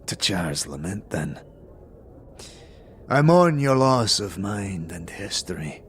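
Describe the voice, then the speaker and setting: A man's voice: deep voice, big pitch swings, slow, full character voice. A man voicing a proud, scarred alien soldier who speaks in grave, formal, archaic phrases in a fantasy roleplay. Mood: solemn. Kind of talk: tabletop-style fantasy roleplay. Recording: faint background noise.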